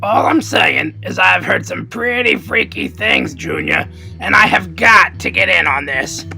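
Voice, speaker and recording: Depraved rat puppet, piercing rasp, wild pitch leaps, brash, scheming. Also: male voice, huge pitch swings, measured pace, soft background music, exaggerated voice. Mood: sleazy, wheedling. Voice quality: raspy, gravelly. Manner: brash, sleazy, shouty. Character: depraved, scheming, wheedling dead rat imaginary friend. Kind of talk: comedy puppet show acting.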